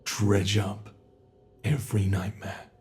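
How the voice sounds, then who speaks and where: A British man voicing an ancient, bored male monster in a horror ASMR roleplay, speaking slowly and intimately with soft whispers. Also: fairly low pitch, flat delivery, slight background noise. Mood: menacing. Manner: slow, intimate, menacing delivery with soft whispers.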